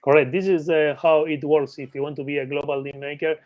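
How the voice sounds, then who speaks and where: A man's voice: fairly high pitch, level tone, brisk, no room sound, muffled recording. Argentine man, tags "right?" on his phrases. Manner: ends phrases with a questioning "right?". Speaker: guest, M&A expert. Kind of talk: casual interview talk.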